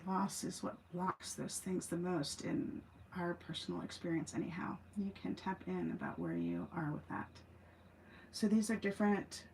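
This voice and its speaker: A woman's voice: fairly low pitch, darkish tone. A woman giving a casual, musing self-help talk as a host, rambling through asides and emphatic repeats. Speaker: host, former teacher. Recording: clearly noisy amateur recording.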